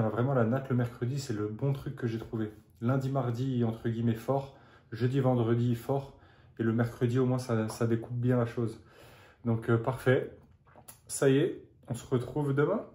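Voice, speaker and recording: A man's voice: mid-range voice, mellow, no echo. A man recording a casual training diary vlog, chatting and thinking aloud in short bursts. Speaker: amateur triathlete vlogger.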